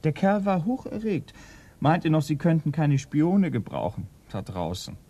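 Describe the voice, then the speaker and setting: An actor playing an inquisitive police assistant in a radio play, politely probing but easily put out. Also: male voice, on the high side, very animated, measured pace, warm tone, highs cut off, full character voice. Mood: reporting. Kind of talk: German radio play acting.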